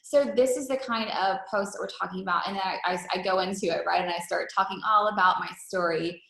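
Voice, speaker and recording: A woman's voice: mid-range voice, a little fast, creaky, a bit bright. A woman leading an informal team training call as a coach, talking in a wordy, conversational way with frequent check-in questions. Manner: wordy, conversational, peppered with rhetorical check-ins. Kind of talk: informal, chatty coaching presentation. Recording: roomy, echoey call recording.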